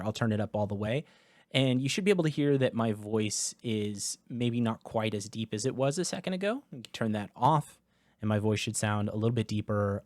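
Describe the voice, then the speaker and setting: Casual man demoing audio software. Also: fairly low pitch, no room sound. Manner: casual, explanatory, with filler words. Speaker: tech reviewer. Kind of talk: product review walkthrough. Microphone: dynamic USB microphone, close.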